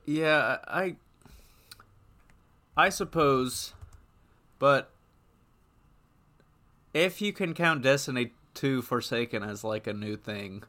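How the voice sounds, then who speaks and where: Bright-voiced man giving opinions on a podcast. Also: mid-range voice. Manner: halting, opinionated, rephrases as he goes. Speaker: podcast co-host. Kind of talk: casual gaming podcast chat.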